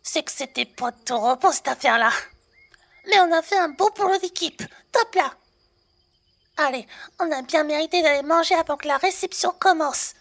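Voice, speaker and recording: A woman's voice: high-pitched, normal speed, gravelly, a bit bright. A woman playing a brash, cheeky young working girl in a French roleplay audio drama, talking in casual slang with a teasing manner. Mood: frank, cheerful.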